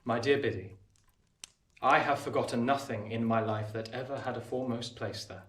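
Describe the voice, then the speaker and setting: Man in role, mid-pitched, earnest formal bachelor gentleman, tender; period radio play, roomy off-mic sound. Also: steady tone, normal speed, far from the mic, lightly acted. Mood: tender, sorrowful. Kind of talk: period radio drama acting.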